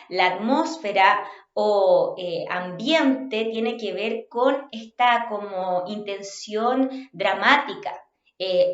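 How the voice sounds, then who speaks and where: A woman with a Chilean accent giving an instructional talk as a workshop facilitator, in a measured, inviting way. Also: a little high. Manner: measured, inviting, checks understanding. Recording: roomy, slightly dull home recording.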